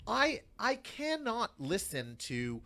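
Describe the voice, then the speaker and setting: A man's voice: high-pitched, huge pitch swings, takes it slowly, bright, forceful tone. A man speaking as a guest on a radio talk show, arguing passionately and repeating phrases for emphasis.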